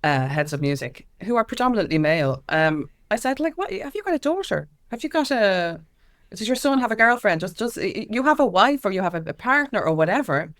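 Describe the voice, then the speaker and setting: A woman's voice: medium pitch, very animated, a little fast, fairly bright tone. A middle-aged woman with an Irish accent explaining things in an interview, emphatic and repeating phrases for weight. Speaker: interview guest, music consultant and activist.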